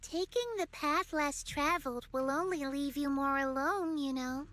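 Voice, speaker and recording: A woman's voice: high-pitched, steady tone, unhurried, fairly bright tone. A woman voicing a playful, aloof, girl-like creature in a game story, with a singsong, teasing manner. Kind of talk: voiced game story dialogue.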